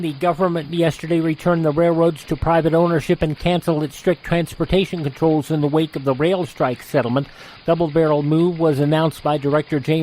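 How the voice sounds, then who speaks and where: A man reads the news in an American accent, in the manner of a radio bulletin, item after item with datelines. His voice sits a little high for a man. There is faint noise on the recording. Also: a little mellow, low-quality recording. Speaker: radio newsreader and programme host.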